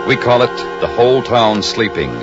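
A man's voice: mid-range voice, animated, moderate pace. A man announcing a radio suspense play in a dramatic, portentous manner. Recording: dull old radio recording, music behind.

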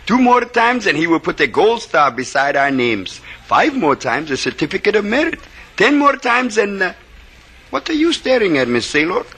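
An earnest sidekick who works on a boat in a 1940s radio drama. He talks politely and literally, in formal phrases. His high voice is bright and moves about a lot. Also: big pitch swings, normal speed, breathy edge, full character voice. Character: earnest, loyal male boat hand sidekick.

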